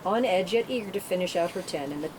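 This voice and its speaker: A woman's voice: lowish voice, lively intonation, quick pace. A woman reading stage directions as narrator at a script table read, in a matter-of-fact way. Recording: clearly noisy room recording.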